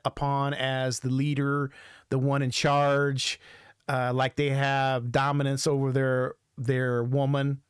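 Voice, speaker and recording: A man hosting a music reaction show, talking off the cuff in a halting, rambling way and often repeating himself. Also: medium pitch, smooth, no room sound, clean studio sound. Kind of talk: casual off-the-cuff reaction commentary.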